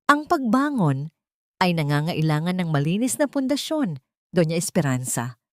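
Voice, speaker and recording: A woman with a Filipino accent voicing a dignified, vengeful young wife in a measured, menacing way. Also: lowish voice, sing-song, dry recording, clean studio sound. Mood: cold, veiled threat.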